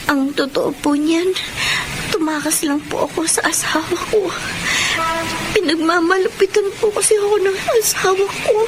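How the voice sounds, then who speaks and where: A timid teenage runaway girl, acted by a woman, with a very high voice. She is humble and deferential, stammering in a dramatic radio-play style. There is clear noise behind her. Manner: hesitant, stammering, very polite and deferential.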